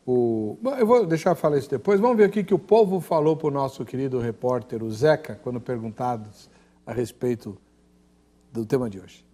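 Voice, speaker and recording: A man's voice: medium pitch, expressive, fairly quick, warm tone. A Brazilian man hosting a studio talk show, introducing segments and guests with jokes. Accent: Brazilian Portuguese. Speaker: TV host.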